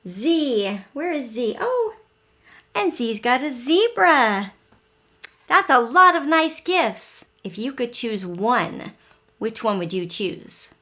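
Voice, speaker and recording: A woman hosting an interactive read-aloud for young children, speaking in simple, expressive teacher talk and often pausing to ask the listener questions. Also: fairly high pitch.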